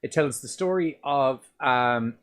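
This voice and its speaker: Male podcast co-host, deep voice, loose rambling delivery with casual swearing. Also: takes it slowly. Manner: sweary, rambling, restarts often. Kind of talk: casual movie review chat.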